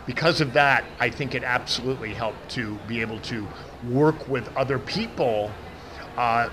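A man's voice: medium pitch, normal speed. Man, American accent, middle-aged, big voice, handheld mic, outdoor noise. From United States. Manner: says he has a big voice, restarts often. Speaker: interview guest, event professional. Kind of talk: casual interview answers. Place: outdoor event media wall. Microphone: handheld mic held by interviewer. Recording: outdoor traffic noise behind.

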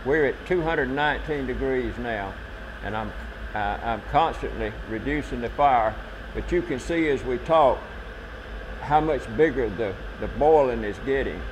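An older man, a syrup maker explaining his work on his farm in a plain, unhurried way. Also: medium pitch, animated, background noise. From southern United States. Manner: plain-spoken, unhurried farmer's talk. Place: farm, outdoors and in a cooking shed.

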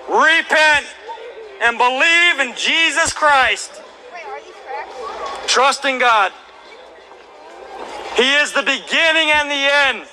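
Street preacher, man in his thirties, high and bright, slow emphatic delivery, handheld mic outdoors. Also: slight background noise, poor audio. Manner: reads scripture aloud, slow and emphatic, rising to shouts. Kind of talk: open-air sermon with scripture reading. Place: outdoor plaza by historic buildings. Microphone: handheld microphone through a speaker.

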